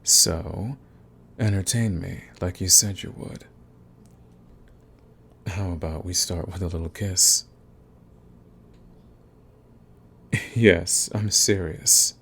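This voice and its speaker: A man's voice: low-pitched, takes it slowly, creaky, long pauses, fairly bright tone, a little hiss or hum, full character voice. A man playing a smug, flirtatious villain who is fond of the enemy, in an intimate ASMR romance roleplay with taunting, drawling menace. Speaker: audio roleplay voice actor.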